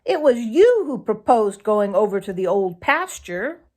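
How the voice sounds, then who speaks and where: A woman's voice: medium pitch, big pitch swings, a little slow, darkish tone, pitched above the performer's own voice. A woman voicing a young male fox in a children's storybook, moody and suspicious, blunt and plaintive in manner. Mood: suspicious, rough.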